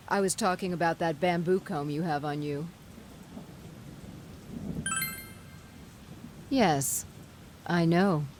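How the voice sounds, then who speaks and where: Video game villain goddess, low female voice, haughty, scornful, measured theatrical delivery, condescending, noticeable background ambience. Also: a little hiss or hum. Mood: mocking disdain. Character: haughty, scornful goddess, coolly amused villain behind it all. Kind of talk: video game cutscene voice acting.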